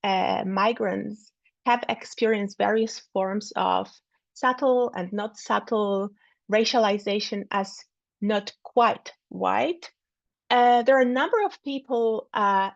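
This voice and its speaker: A woman giving an academic talk over a video call, halting often with fillers and repeated words. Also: medium pitch, creaky, dry recording. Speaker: academic speaker. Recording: dull lo-fi sound.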